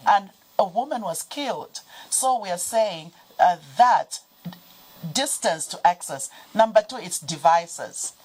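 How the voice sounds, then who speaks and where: A Zambian woman talking as a guest on a TV business show, explaining points one by one in a measured way. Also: mid-range voice, animated, a little mellow, slightly rough, poor audio. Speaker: guest from a financial development organization. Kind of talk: TV business talk show interview.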